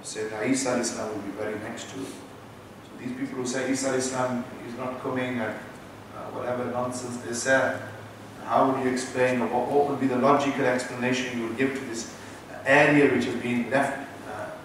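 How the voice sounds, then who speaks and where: A man's voice: medium pitch, flat delivery, brisk, noisy background. A man with a British accent, an imam giving an Islamic lecture and teasing his listeners with asides. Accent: British English. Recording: echoey room, voice from far off.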